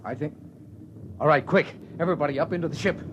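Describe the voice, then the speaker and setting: A man's voice: fairly high pitch, quick pace, background noise, natural voice. An actor playing a wry spaceship crewman who narrates a grim expedition in a dry 1950s radio drama style. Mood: urgent. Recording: dull old radio recording with music.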